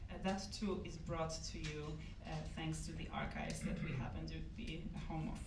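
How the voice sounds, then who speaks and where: A woman's voice: low voice, fairly even intonation, normal speed. A woman with a Polish accent giving a formal welcome speech with frequent "uh" restarts. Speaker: event host. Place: event hall. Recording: echoey hall, mic from a distance, audible noise.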